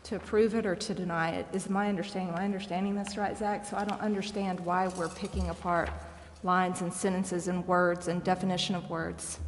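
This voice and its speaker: A woman's voice: on the low side, little pitch movement, brisk, hardly any pauses. A woman speaking as a council member in a meeting, informal and plain-spoken. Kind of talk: meeting discussion. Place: indoor council chamber. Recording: reverberant meeting chamber with background noise.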